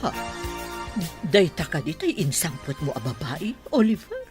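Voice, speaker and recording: An actress playing a nosy, suspicious middle-aged mother with a husky voice in an Ilocano radio drama. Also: low-pitched, huge pitch swings, measured pace, over music, full character voice. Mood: prying.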